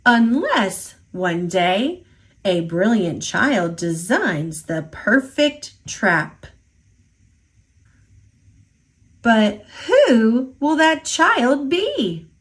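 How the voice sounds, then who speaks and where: A cocky little two-hundred-year-old leprechaun man from a picture book, acted out by a woman. The voice is fairly high and swoops playfully, taking its time over each teasing, sing-song rhyme. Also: very animated, drawn-out words, full character voice. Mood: boastful, playful. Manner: playful, taunting, sing-song rhyming delivery.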